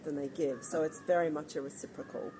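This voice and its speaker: A middle-aged woman from Australia answering interview questions in a thoughtful, earnest, measured way. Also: sits low, fairly even intonation, mellow, poor audio. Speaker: guest, founder of an organization. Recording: background ambience noise.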